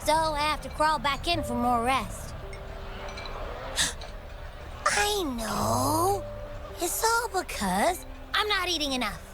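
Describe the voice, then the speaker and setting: A woman voicing a small, childlike game creature, innocent, excitable and a bit whiny. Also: high voice, takes it slowly, pauses often, music in the background, exaggerated voice. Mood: earnest.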